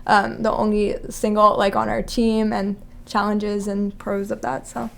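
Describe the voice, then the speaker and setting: A woman's voice: mid-range voice, little pitch movement, a little slow, creaky, fairly bright tone. A young woman from Virginia in her twenties, casually introducing a group chat, loose and friendly with many fillers. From Virginia, USA. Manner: friendly, loose, full of likes and ums. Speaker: ministry intern leading the chat.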